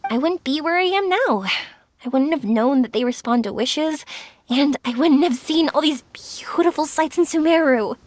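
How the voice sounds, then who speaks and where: A woman playing a cheerful, earnest young dreamer in an anime video game, with a sincere, expressive manner. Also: high voice, normal speed, slight background noise, natural voice. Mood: sincere, hopeful. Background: music.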